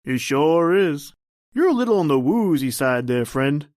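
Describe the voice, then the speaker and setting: A man's voice: a little high, very animated, a little slow, a little mellow, dry recording, clean studio sound. A man playing a brusque, skeptical street cop with patronizing slang in an old-time radio drama. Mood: skeptical, dismissive.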